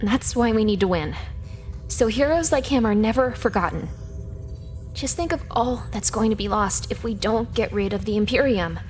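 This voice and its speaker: A woman's voice: on the high side, normal speed, a little mellow, soft background music, natural voice. Woman playing a cocky, dry young hacker woman. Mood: grieving, guarded. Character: cocky, sardonic young female hacker in space crew. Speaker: video game character actor. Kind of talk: scripted video game character dialogue.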